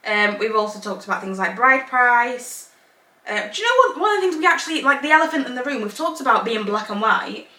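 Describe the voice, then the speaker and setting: A woman's voice: a little high, very animated, a little fast, sharp tone. A woman born in Zambia and raised in England, co-hosting a casual podcast chat, rambling in long run-ons and laughing often. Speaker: podcast co-host. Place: home room, Northern Ireland. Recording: echoey room, mic at a distance.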